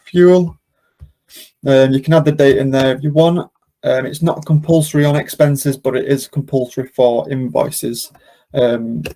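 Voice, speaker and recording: A man leads a software demonstration in an informal, step-by-step way. His voice is relaxed and mellow, with very little melodic movement and some gravelly fry. He explains things off the cuff, often pausing on an um. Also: mid-range voice, low-quality recording. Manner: explanatory, unscripted and hesitant, with frequent ums. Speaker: presenter of a software demonstration webinar. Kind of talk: informal step-by-step screen-share tutorial.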